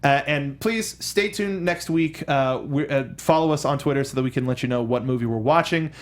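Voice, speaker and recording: A man's voice: medium pitch, moderate pace. An American man hosting a casual film discussion in a studio, often repeating words and restarting as he goes. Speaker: host of a film review show. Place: studio with a control booth.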